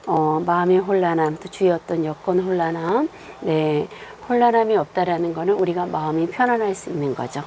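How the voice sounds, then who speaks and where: Woman, tarot reader, hoarse from a cold, slow with fillers, faint background hiss. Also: fairly low pitch, dark tone. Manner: unhurried, many filler sounds. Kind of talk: monthly tarot fortune reading.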